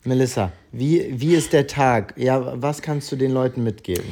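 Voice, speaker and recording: A man's voice: medium pitch, normal speed, dark tone. A man co-hosting a casual podcast while he has a cold. He is playful, slipping into mock-announcer asides, with a mid-range voice. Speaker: podcast co-host. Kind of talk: casual chat.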